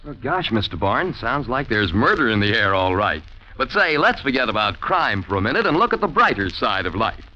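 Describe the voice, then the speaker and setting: Very animated vintage radio commercial announcer. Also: male voice, lowish voice, a little fast, dull audio, a little hiss or hum. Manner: peppy, emphatic, folksy salesmanship. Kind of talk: 1940s radio advertising pitch. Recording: old 1940s radio broadcast, music behind.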